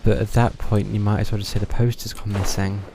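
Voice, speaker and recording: A man's voice: fairly low pitch, flat delivery, brisk, gravelly, noisy background. A man giving casual live game stream commentary, muttering to himself in a dryly wry way.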